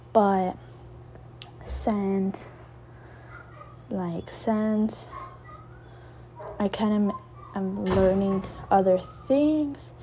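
A young woman in her twenties talks slowly and reflectively in a casual podcast, often restarting her thoughts. Her voice is mellow and soft-edged. A faint hiss sits under the recording. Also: medium pitch. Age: 20s. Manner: rambling, reflective, many fillers and restarts. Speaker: podcast host. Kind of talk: casual solo podcast talk.

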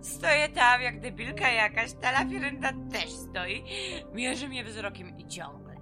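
A woman's voice: fairly high pitch, unhurried, lightly acted. An actress playing a bitter, drunken woman fresh out of prison, rambling and swearing in slangy speech in an audio drama. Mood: mocking, irritated. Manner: rambling, vulgar, slurred drunken repetitions. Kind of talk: Polish audio drama, slangy profane monologue. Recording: music under the voice. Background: music.

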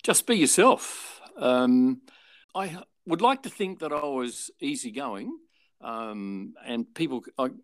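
An older Australian man, a former radio and television presenter, telling anecdotes in a relaxed interview and acting out the people he quotes. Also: mid-range voice, slow, no room sound. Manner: chatty, animated retelling with voiced quotes.

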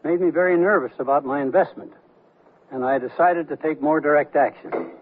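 A man's voice: a little high, fairly quick, natural voice. An actor playing a stubborn, scheming father in his sixties, with dry, wry phrasing in a radio comedy. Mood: wry, indignant. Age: older. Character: stubborn, scheming sixty-seven-year-old father, undaunted schemer. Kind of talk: 1970s radio comedy acting. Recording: noisy narrowband 1970s radio broadcast.

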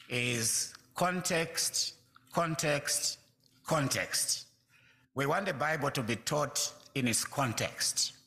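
A man in his sixties from Zambia, a pastor speaking to a congregation in a measured way, pausing often with fillers. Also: medium pitch, pushed and bright. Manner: measured, with frequent hesitant fillers. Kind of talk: church talk to a congregation.

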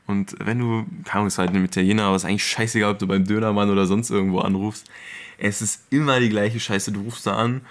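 Slangy young man, fairly low voice. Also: steady tone, fast. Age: young adult. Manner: slangy, colloquial. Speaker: podcast host. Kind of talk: casual chat among friends.

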